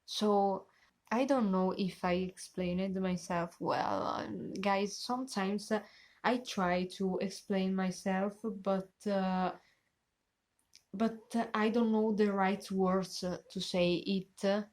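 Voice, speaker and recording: A young Italian woman talks slowly through a reaction video from her small bedroom, pausing often with "uh" as she hunts for the right English words. Her pitch sits in an ordinary middle range, and she gestures as she explains her thoughts. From Italy. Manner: searching for words, many fillers, animated hand gestures. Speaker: reaction channel host. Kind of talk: casual reaction commentary.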